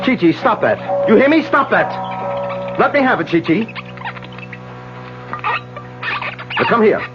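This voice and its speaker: Commanding doctor with widely swinging pitch. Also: male voice, very animated. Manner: commanding, instructing, deliberate. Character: authoritative, controlled male doctor managing a crisis. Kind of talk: 1970s radio mystery drama acting. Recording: dull 1970s radio broadcast with music.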